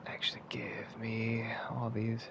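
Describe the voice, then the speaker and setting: A man's voice: medium pitch, monotone, measured pace, vocal fry, heavy background noise. A man streaming a video game, thinking aloud and swearing now and then as he plays. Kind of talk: casual gameplay commentary. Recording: game music playing behind. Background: game music.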